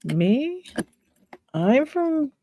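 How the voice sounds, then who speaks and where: A woman's voice: high voice, sing-song, very slow, mellow, lo-fi sound, a little hiss or hum. A woman with a Pittsburgh accent, hosting a casual live stream and chatting with viewers while she reads names from chat and laughs often. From Pennsylvania, USA. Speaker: livestream host.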